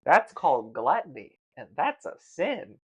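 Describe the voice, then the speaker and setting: A man chatting casually on a livestream in a halting, grumbling manner, often repeating his words. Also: a little high, very animated, a bit bright, slightly rough, muffled recording. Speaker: streamer. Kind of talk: casual chat while tasting food on a livestream.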